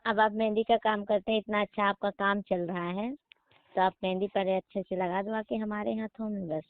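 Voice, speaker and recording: A woman's voice: mid-range voice, steady tone, brisk, no echo, close to an everyday voice. A woman playing a flirty, coaxing customer phoning a henna artist, talking casually and persuasively. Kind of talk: casual phone-call role-play. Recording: dull, lo-fi phone-call audio.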